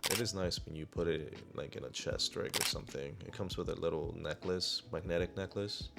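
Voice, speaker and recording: A man with a deep voice narrating his own vlog in a laid-back, rambling voiceover. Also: a little fast, vocal fry, over loud music. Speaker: vlogger. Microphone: clip-on wireless mic.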